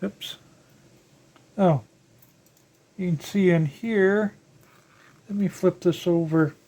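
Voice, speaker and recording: Slow-talking older hobbyist, musing aloud. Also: mid-range voice, warm tone. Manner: halting, musing aloud while working with hands. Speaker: electronics hobbyist presenter. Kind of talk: casual unscripted teardown narration. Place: indoors at a workbench.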